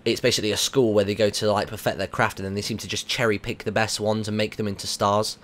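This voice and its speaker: A man's voice: on the low side, level tone, fast, a bit bright. A British man hosting a casual radio interview, asking chatty, informal, rambling questions. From United Kingdom.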